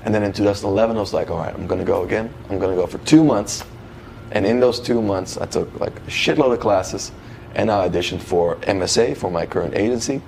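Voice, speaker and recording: A man's voice: lowish voice, fast talker, gravelly, a little mellow, slight background noise. A man, chatting casually and retelling his experiences in slangy, quoted dialogue. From Netherlands. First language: Dutch. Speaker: guest, professional dancer. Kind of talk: casual conversational storytelling.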